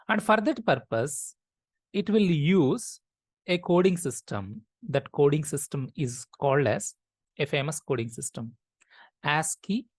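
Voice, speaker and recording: Woman, lecturing, patient repetition, low voice. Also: no room sound. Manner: explains step by step, repeats key phrases. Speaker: programming teacher.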